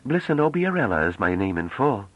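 A teasing, self-assured young woman put on by a male audiobook reader, lifted well above his own low voice. Her speech stays slightly formal and playful, with a husky edge and lively swings of pitch. The recording is close and dry. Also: fairly quick, dry recording, highs cut off. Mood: chatty.

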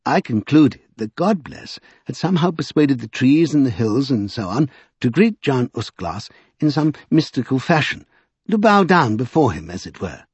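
A man's voice: mid-range voice, big pitch swings, moderate pace, a little mellow, husky, no echo, muffled recording, voice pushed up. A man voicing a bookish, thoughtful magician who lectures precisely in an audiobook. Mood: earnest, pedantic. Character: pedantic, bookish, thoughtful male scholar magician.

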